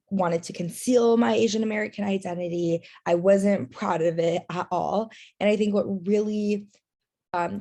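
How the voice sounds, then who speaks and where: Woman with a gravelly fry, earnest. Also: lowish voice, darkish tone. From Taiwan and China. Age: young adult. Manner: earnest, many fillers. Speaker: workshop presenter. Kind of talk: online workshop presentation. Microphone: video call.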